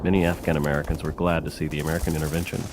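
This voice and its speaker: A man giving measured, scripted documentary narration. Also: extremely low pitch, flat delivery, very noisy.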